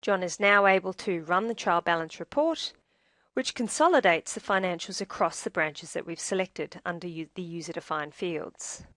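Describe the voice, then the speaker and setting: A woman presenting a software demo as a scripted tutorial narration, in a measured, instructional manner. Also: fairly low pitch, no room sound.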